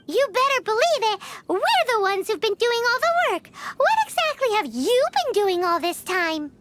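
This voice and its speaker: Super squeaky bossy little fairy sidekick, bouncy voice, noisy game audio. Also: female voice, sing-song, moderate pace. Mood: indignant. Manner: bossy, chirpy, speaks of herself by name. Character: tiny floating fairy-like girl companion, chatty and cheeky. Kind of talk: game dialogue.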